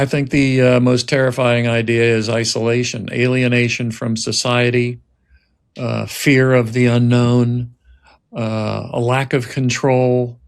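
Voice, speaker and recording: A man speaking as a writer-director and host, deliberate and pausing as he narrates or explains. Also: medium pitch, vocal fry, clean tone. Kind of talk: scripted narration and reflective talk.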